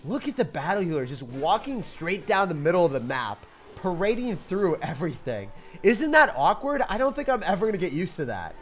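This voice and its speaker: A man's voice: sits high, sing-song, a little fast, a bit bright, faint music behind. A young man giving hyped, playful, slangy commentary over gameplay as a video host. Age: young adult.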